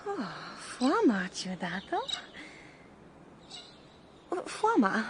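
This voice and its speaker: A gentle, childlike woman from a far future in a radio drama. Her voice is very high and lilting, and she speaks unhurried, short phrases in an invented language. There is clear noise on the recording. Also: sing-song, exaggerated voice. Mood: childlike, tender.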